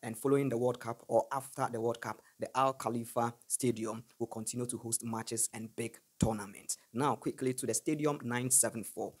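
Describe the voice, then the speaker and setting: A man's voice: medium pitch, a little mellow. A young man, a sports journalist, reading a scripted news report into a studio mic with an emphatic, direct manner. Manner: emphatic, addresses viewers directly. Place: small studio, green-screen backdrop. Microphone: studio mic on stand in front of mouth.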